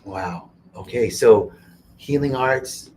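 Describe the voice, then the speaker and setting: A man's voice: medium pitch, takes it slowly, darkish tone, slight background noise. Upbeat joking man hosting an interview. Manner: upbeat and joking, laughs often. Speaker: host. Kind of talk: casual interview. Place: retreat center in Costa Rica.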